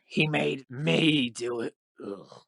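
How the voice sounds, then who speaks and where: An actor playing a sceptical, reluctant pirate sidekick in an audio play, with a gravelly voice and dry, grudging manner. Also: male voice, a little high, takes it slowly, fairly bright tone, no room sound, heavily acted.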